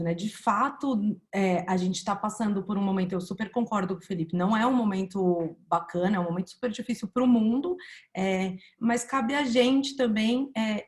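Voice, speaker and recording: A Brazilian woman hosts an online panel, her voice sitting mid-range for a woman. She talks loosely and conversationally, filling pauses as she thinks aloud. The sound is a plain video-call connection. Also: mid-range voice. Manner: conversational, many fillers, thinks aloud while asking. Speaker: panel moderator. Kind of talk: webinar panel discussion.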